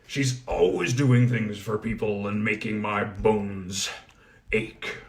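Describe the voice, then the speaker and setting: A man voicing a gruff, powerful male goblin smith who talks bluntly and gives commands. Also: on the low side, slow. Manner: brusque, commanding.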